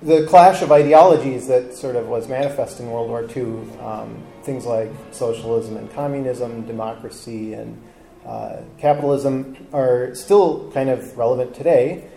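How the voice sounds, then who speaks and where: Relaxed man with a mellow voice. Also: medium pitch, normal speed, vocal fry, faint music behind, rough recording. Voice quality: relaxed, hesitant with frequent ums. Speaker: travel talk presenter. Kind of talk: casual live talk to an audience. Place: live talk before a seated audience with drinks.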